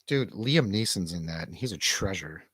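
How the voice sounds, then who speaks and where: A man's voice: deep voice, lively intonation, normal speed, fairly bright tone, slightly rough, no room sound. A man co-hosting a livestream in casual banter, with a rambling, slangy, jokey way of talking. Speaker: livestream co-host.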